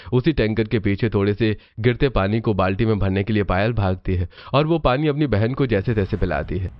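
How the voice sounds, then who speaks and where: A man's voice: fairly low pitch, no echo, lo-fi sound. A man reading scripted narration for a cartoon family story.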